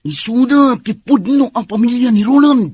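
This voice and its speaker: A woman playing a disapproving, compassionate confidante in a radio drama, husky and earnestly chiding. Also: fairly high pitch, animated, moderate pace, mellow, no echo. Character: disapproving confidante pitying her lover's children.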